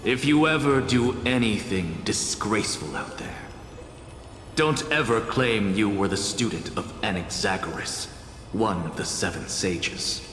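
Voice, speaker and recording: Casting: pompous, exacting game professor; unhurried, withering delivery in a reverberant space, music underneath. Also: male voice, lowish voice, animated, a bit bright. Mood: solemn, stern. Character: haughty, exacting male professor and renowned scholar. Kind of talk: dramatic video game voice acting. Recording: echoey long reverb with music behind.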